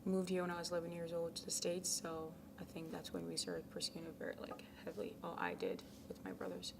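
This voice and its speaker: A young woman from Ghana, a college tennis captain, answering interview questions in a soft, casual way with many ums, sometimes dropping to a near whisper. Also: on the low side, a little fast, creaky. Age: young adult. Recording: noisy background.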